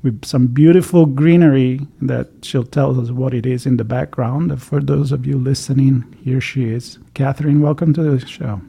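A man's voice: mid-range voice, fairly even intonation, moderate pace, creaky, few pauses, warm tone, breathy edge. A man hosting a podcast interview over a video call, talking in a rambling, chatty way with many restarts. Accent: Italian. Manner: rambling, with frequent restarts and fillers. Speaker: podcast host. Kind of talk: conversational interview.

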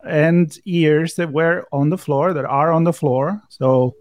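A man's voice: on the high side, slow, darkish tone, no echo, studio quality. A man co-hosting a podcast in a casual, joking live chat. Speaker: podcast co-host.

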